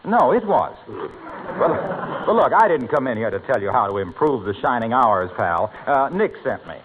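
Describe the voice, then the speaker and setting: An actor playing a smooth-talking, upbeat announcer and salesman in 1940s radio comedy. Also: mid-range voice, very animated, normal speed, natural voice. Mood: cheerful, teasing. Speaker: announcer and pitchman. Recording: tinny old radio broadcast with hiss.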